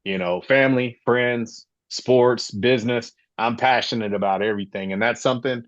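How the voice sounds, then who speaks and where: A man's voice: mid-range voice, normal speed, dry recording, muffled recording. A man in his late forties from Florida, hosting a casual sports podcast and telling his own story with passion. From Florida, USA. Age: 40s. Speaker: podcast host.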